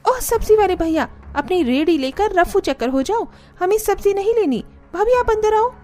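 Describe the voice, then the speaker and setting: A woman's voice: extremely high pitch, lively intonation, normal speed, dark tone, pitched above the performer's own voice. A woman voicing a spoiled, bossy young sister-in-law in a cartoon story, whiny and demanding.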